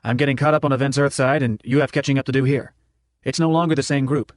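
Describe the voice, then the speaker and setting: A man's voice: medium pitch, steady tone, fast talker, no echo, natural voice. Businesslike male revolutionary chief, read by a male narrator, courteous, orderly, dry audiobook sound. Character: businesslike male revolutionary leader on video link. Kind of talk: audiobook character dialogue.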